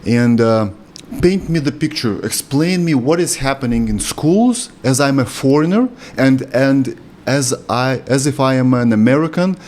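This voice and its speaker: Man, Lithuanian accent, non-native English, interview host, careful halting phrasing, average pitch. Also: animated, darkish tone.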